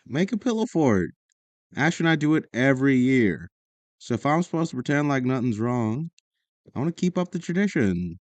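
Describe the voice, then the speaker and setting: A woman's voice: very deep voice, big pitch swings, moderate pace, a little mellow, dry recording. A woman playing a guarded but caring, wry teenager in an audio drama, speaking in a casual, understated, teasing way.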